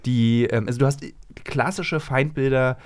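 A man's voice: medium pitch, fairly even intonation, normal speed. A man, a journalist guest, talking casually and giving his opinions in a discussion. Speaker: interview guest, journalist and author.

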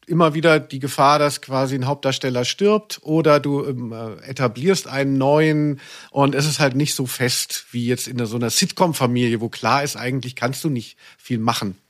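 A man with a German accent hosting a podcast in casual, humorous chat, rambling and teasing. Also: medium pitch. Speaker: podcast host.

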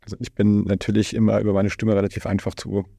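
A man's voice: lowish voice, monotone, quick pace, creaky, warm tone, husky, dry recording, studio quality. A man hosting a podcast interview in a casual, conversational way, with rambling, circling phrasing. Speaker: podcast host interviewing a guest.

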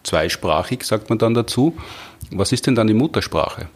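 A man's voice: on the low side, lively intonation, moderate pace. A man hosting a podcast, asking long, curious questions. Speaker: podcast host. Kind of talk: conversational interview.

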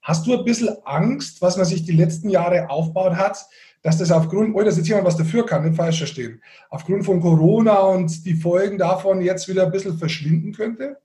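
Male podcast co-host, slightly high but mellow, conversational, roomy echo. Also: brisk. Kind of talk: conversational interview question. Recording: echoey room.

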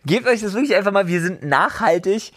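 A man co-hosting a podcast in casual chat, excitable, laughing often and swearing casually. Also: high voice, brisk, no room sound, studio quality. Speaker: podcast co-host.

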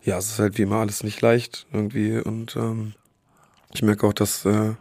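A male podcast co-host speaking through a cold, his voice hoarse and gravelly. He talks in a halting way, pausing and searching for words as he goes. The sound is dry and close, with no room echo. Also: lowish voice, level tone, a little mellow. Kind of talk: casual chat.

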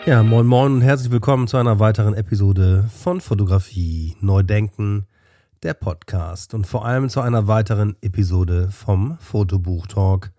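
Man with a cold, podcast host, low warm voice, dry close sound. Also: normal speed, no echo, soft background music. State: has a cold. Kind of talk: solo podcast narration.

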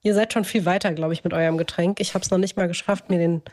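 A woman's voice: lowish voice, fairly even intonation, quick pace, a little mellow. A woman co-hosting a podcast in a casual, chatty way, often interrupting herself with joking asides into her own microphone. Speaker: podcast co-host. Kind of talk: casual chat. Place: indoors, remote connection with own microphone setup. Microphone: own clamped desk microphone.

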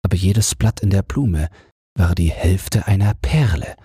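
A man with a husky voice narrating a bedtime fairy tale as an audiobook, unhurried and soothing. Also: dark tone, no echo, clean studio sound. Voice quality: husky, very low.

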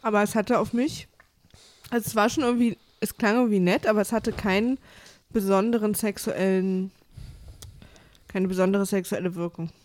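A woman's voice: medium pitch, fairly even intonation, moderate pace, pauses often, darkish tone, dry recording. A woman co-hosting a casual podcast chat, giving blunt, matter-of-fact opinions. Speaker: podcast co-host.

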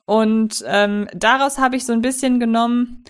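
A woman's voice: a little high, steady tone, normal speed, clear, resonant tone. A woman hosting a horror film podcast, chatting casually and explaining her points at length. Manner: conversational, explains at length with fillers. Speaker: podcast host. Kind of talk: casual film review chat.

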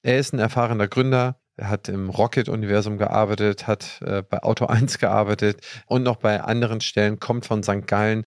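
A man's voice: lowish voice, fairly even intonation, fairly quick, few pauses, no room sound, studio quality. A man hosting a podcast, introducing his guest and asking friendly, informal questions. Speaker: podcast host.

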